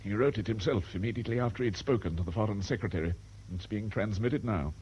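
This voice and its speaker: An actor as a dry British staff officer, muffled. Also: male voice, fairly low pitch, fast, a little mellow, lightly acted. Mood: dry, knowing. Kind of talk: radio drama acting. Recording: muffled, noisy old radio broadcast.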